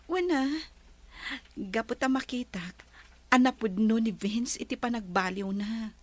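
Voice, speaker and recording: An actress playing a kind lady of the mansion who speaks gently and graciously in a radio drama. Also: on the high side, unhurried. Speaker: employer character.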